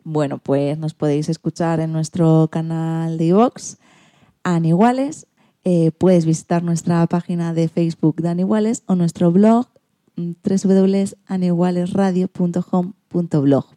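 A woman's voice: fairly low pitch, fairly even intonation, a little slow, mellow, no echo. A woman with an accent from Spain, hosting a radio show in a scripted presenting style.

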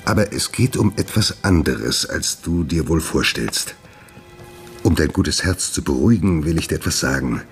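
Secretive former doctor, low-pitched, animated delivery, urbane, condescending, radio play, faint music. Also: male voice, normal speed. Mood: earnest, subdued. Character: troubled, secretive gentleman scientist and former doctor. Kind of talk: German radio play acting.

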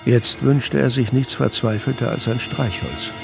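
A man's voice: medium pitch, a little slow, vocal fry. Dry male narrator over faint music. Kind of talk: scripted audio drama narration.

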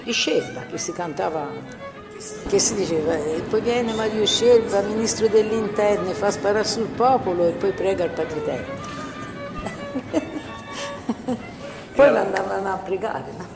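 Creaky older witness in echoing space. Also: mid-range voice, measured pace, long pauses. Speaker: witness recalling past events. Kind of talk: spoken oral-history recollection with snatches of recited song. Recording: echoey, reverberant recording with loud music. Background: music.

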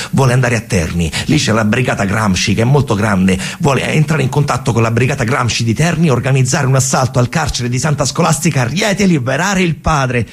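A man performing dramatic theatrical storytelling, in short emphatic phrases with repetitions and deliberate pauses. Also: medium pitch, creaky, slightly rough. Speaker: stage storyteller, actor performing a narrative monologue.

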